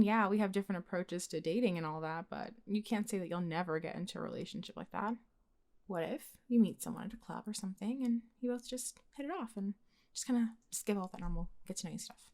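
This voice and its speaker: A young woman acting as a blunt, secretly smitten best friend in an intimate, conversational ASMR roleplay, with a casual, expressive delivery. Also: mid-range voice, lightly acted. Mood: incredulous, teasing. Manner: casual, expressive, sighing, sweary.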